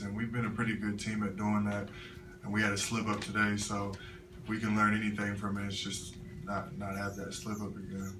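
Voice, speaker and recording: A young man, a college basketball player, answering press questions after a loss with measured, halting answers full of fillers. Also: on the low side. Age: young adult. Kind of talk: postgame press conference answers. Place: press room with sponsor backdrop. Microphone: table mic, recorded by a camera from the floor. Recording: echoey room from far off, noisy.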